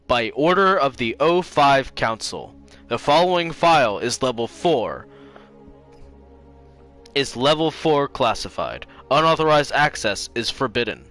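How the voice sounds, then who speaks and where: Guy on a game stream, bright forceful voice, soft music under him. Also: medium pitch, expressive, unhurried. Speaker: livestream host playing games and reading aloud. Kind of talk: casual stream chat and dramatic reading.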